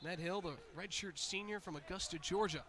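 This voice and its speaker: An American man doing energetic live basketball play-by-play commentary, building to excited calls on big plays. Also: sits high, fairly quick.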